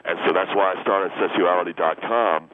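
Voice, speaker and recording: Low-pitched, indignant young guy played by a man phoning a radio comedy show; halting, crude, self-righteous, on a thin, hissy line. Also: fairly even intonation, natural voice. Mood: halting disapproval. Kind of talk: improvised radio comedy call-in. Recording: phone-like radio line with hiss.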